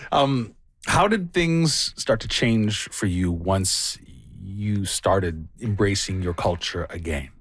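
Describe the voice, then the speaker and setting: A man's voice: low-pitched, animated, slow pace, a bit bright, husky, no room sound, clean studio sound. A man interviewing a guest, curious and encouraging as he asks questions. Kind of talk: interview conversation.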